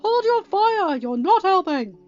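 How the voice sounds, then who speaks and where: A woman's voice: very high-pitched, big pitch swings, a little slow, a little mellow, pitched above the performer's own voice, exaggerated voice. A woman voicing an exasperated male Jedi master in a story reading, with a bossy, exclamatory, dry manner. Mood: alarmed, yelling. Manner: exclamatory, bossy, dryly quipping. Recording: dull, band-limited audio with background music.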